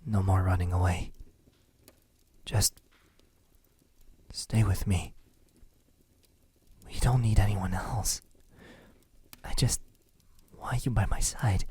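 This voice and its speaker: A lovesick, ancient male vampire, played by a man, murmurs in a husky, breathy voice. He speaks very slowly and haltingly, slipping into whispers. The tone is dark and velvety, gentle yet desperate and possessive, in an intimate ASMR roleplay. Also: low-pitched, full character voice. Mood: longing, possessive. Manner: slow, hesitant, halting, tender.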